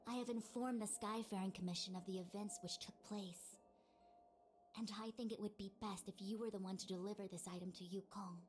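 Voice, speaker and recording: A woman playing a composed, dutiful master diviner in a video game, speaking in a formal, measured and precise way. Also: medium pitch, steady tone, faint music behind, lightly acted. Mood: grave. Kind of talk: scripted video game dialogue.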